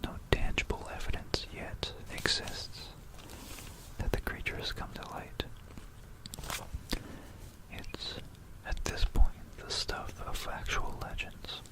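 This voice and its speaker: An ASMR presenter narrating history in a hushed, unhurried whisper, repeating words and pausing mid-phrase, close to a stereo microphone. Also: low-quality recording. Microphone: close stereo microphone for binaural ASMR.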